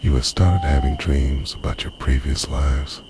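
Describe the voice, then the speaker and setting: A man playing a possessive, menacing immortal lover in an intimate, slow, breathy ASMR roleplay monologue. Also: noisy background. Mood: ominous, possessive. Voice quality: very deep, breathy whisper.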